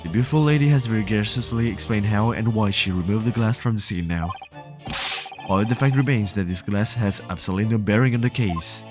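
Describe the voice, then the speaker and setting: A man voicing a haughty male courtroom prosecutor, reading the lines scornfully in a low voice, with music behind. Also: fast, natural voice.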